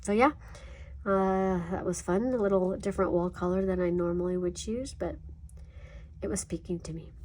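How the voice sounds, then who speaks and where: A woman's voice: lowish voice, normal speed, a little mellow, slight background noise. A woman with a stuffy, congested nose, chatting casually and rambling a little as she shows her finished coloring pages. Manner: rambling, chatty, frequent ums and self-corrections. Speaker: coloring channel host.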